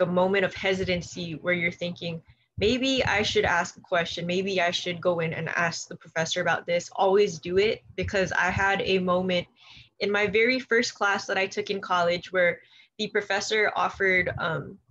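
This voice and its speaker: A woman's voice: lowish voice, fairly bright tone, muffled recording, a little hiss or hum. Young woman from Hawaii, earnest. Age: young adult. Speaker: orientation leader. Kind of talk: casual panel advice.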